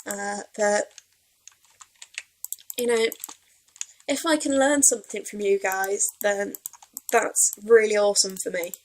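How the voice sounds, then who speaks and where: A woman's voice: medium pitch, steady tone, slow pace, long pauses, low-quality recording. A young woman from Wales with a Welsh accent touched by Yorkshire, rambling and self-deprecating as she chats over her gameplay. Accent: Welsh, with some Yorkshire creeping in. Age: young adult. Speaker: let's play gamer. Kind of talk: casual rambling gameplay commentary.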